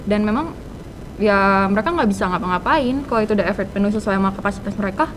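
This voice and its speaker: A woman's voice: mid-range voice, animated, fast talker, background noise. A teenage girl, a student guest answering casually and dropping in English words. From Indonesia. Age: teens. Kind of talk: casual podcast interview.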